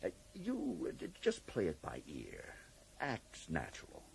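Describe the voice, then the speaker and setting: An actor playing a cagey, drily amused federal agent in a radio drama, speaking with polite, evasive hesitations. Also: male voice, low voice, wildly swooping pitch, a little slow, vocal fry, slight background noise, heavily acted. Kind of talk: 1980s radio drama acting.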